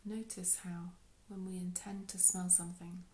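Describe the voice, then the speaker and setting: A woman leading a guided meditation in a soft, unhurried, soothing way, with phrases trailing off into breath. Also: on the low side, little pitch movement, a little hiss or hum. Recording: roomy, echoey sound.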